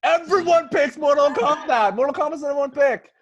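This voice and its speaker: A man in his thirties hosting a casual movie podcast, talking in a halting, bantering way and teasing the others. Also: very high voice, crowd noise. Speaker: podcast host.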